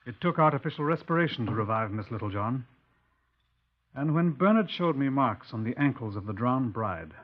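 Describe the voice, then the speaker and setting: On a tinny, narrow-band old radio recording, a man plays a stern police inspector of mid-range voice. He is measured, dry and formal, methodically working through a case in 1950s radio drama style. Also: medium pitch, close to an everyday voice. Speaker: narrator and detective character.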